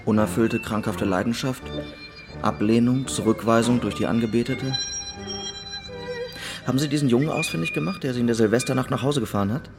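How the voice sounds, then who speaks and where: An actor playing a special investigator from the mainland in a radio drama, businesslike and pressing. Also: male voice, medium pitch, brisk, over music, close to an everyday voice. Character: brisk, insistent male special investigator from the mainland. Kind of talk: naturalistic German radio drama dialogue.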